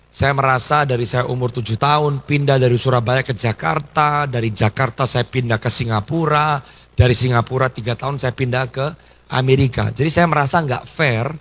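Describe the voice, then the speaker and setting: Man, 30s, pastor, breathy voice, casual storytelling sermon. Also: medium pitch, a bit bright. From Indonesia. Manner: conversational storytelling, mixing in English phrases. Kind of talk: testimony sermon.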